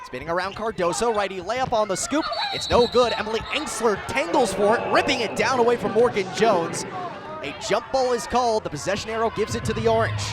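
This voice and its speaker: A man's voice: sits high, brisk. An American man doing live radio sports play-by-play in emphatic, clipped phrases. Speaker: play-by-play commentator.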